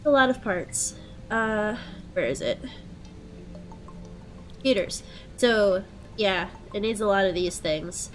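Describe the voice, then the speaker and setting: A young woman with a head cold and a stuffed-up nose, chatting casually through gameplay and thinking out loud with plenty of ums. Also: medium pitch, slow, long pauses, soft background music. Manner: relaxed, thinking aloud with frequent ums. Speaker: let's play host.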